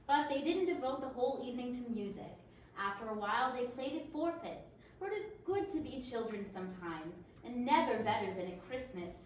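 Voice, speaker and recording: Very echoey distant recording, faint noise, female story narrator, animated intonation. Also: a little high. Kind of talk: storybook narration, readers theater.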